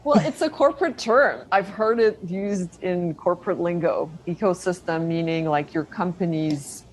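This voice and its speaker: A woman's voice: lowish voice, moderate pace, poor audio. A woman filmmaker answering interview questions in a casual, conversational way. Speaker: film director and screenwriter interviewed as guest.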